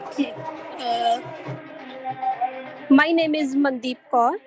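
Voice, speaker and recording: Slow, high-voiced Indian nurse. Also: female voice, loud music behind the voice. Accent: Indian English. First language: Punjabi. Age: 30s. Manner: hesitant, many fillers. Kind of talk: practice interview answers.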